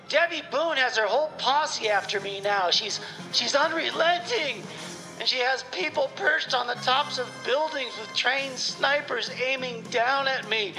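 A man's voice: very high voice, rough recording. A man playing a frantic, paranoid male clone who phones a radio show while on the run, rambling and melodramatic, over music. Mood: panicky. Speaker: caller. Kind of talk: comedy radio theater.

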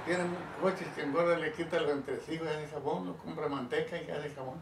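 Older man, plain slow storyteller, slightly high voice, camera mic across a roomy living room. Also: fairly even intonation, creaky. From Sinaloa, Mexico. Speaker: grandfather being interviewed. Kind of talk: recalling ranch life in casual conversation. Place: home living room, indoors. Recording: roomy, distant home video with hiss.

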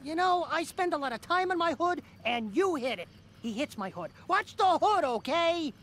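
A man playing a fussy, indignant car in an animated video game. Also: very high-pitched, moderate pace.

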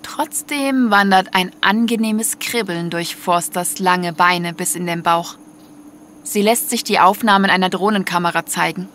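A woman's voice: lowish voice, measured pace, a bit bright, slight background noise. A woman narrating a scripted audio story in prose. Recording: music under the voice.

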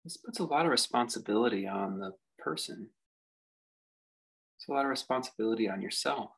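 Husky, gravelly man guiding meditation. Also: medium pitch, a bit bright, low-quality recording. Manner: unhurried, reflective delivery with long pauses. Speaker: meditation teacher. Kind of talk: guided meditation.

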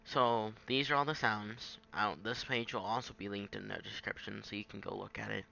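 A man giving an informal, halting software tutorial, thinking aloud as he goes. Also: lowish voice, steady tone, vocal fry, dull audio, a little hiss or hum. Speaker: tutorial presenter. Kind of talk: casual screen-capture software tutorial.

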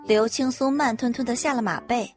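A woman narrating a web audio novel in an even storytelling manner. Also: fairly high pitch, fairly quick, faint music behind.

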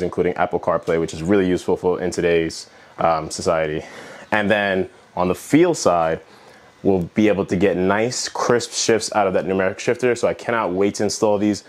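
Low-voiced young American man vlogging. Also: no room sound. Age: young adult. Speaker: car vlogger. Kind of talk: casual talk to camera. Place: inside a parked car, later a garage. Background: background music.

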